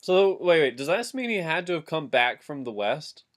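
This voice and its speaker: A man's voice: fairly high pitch, sing-song, normal speed. A man chatting casually and comically as a co-host reacting to a reading.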